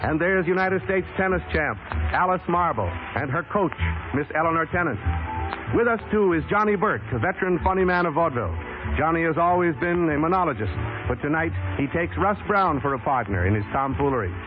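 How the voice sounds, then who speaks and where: A man gives a 1930s radio lineup in formal, polished announcer style, pitched a touch high. The sound is thin and phone-like, with band music clear behind him. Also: lively intonation, a little fast. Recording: tinny old radio broadcast with music.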